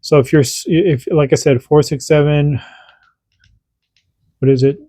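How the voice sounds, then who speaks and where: A man's voice: on the high side, fairly even intonation, unhurried, dark tone, no room sound, clean studio sound. A man hosting a casual radio hobby tutorial, chatty and repetitive, doing radio checks as he demonstrates. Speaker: radio hobby channel host.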